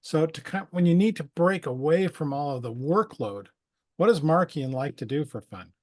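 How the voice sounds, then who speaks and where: A man's voice: fairly high pitch, expressive, normal speed, darkish tone. A man chatting casually as he conducts an interview. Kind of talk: casual chat.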